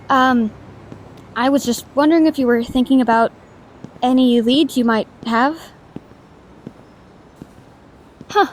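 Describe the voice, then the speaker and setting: A woman's voice: on the high side, a little hiss or hum, close to an everyday voice, poor audio. A woman voicing a shy, awkward young female ghost in an intimate audio drama, stammering, hesitant and apologetic, anxious but kind. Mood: curious, thoughtful. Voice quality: soft stammer. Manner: hesitant, apologetic, self-doubting, rambling.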